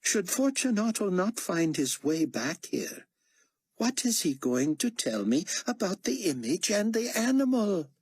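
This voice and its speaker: A man's voice: sits high, very animated, unhurried, darkish tone, slightly rough, no echo, pitched above the performer's own voice, heavily acted. A man playing a pompous, menacing world dictator in an audiobook, with clipped formal diction and sarcastic scorn. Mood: impatient, sardonic.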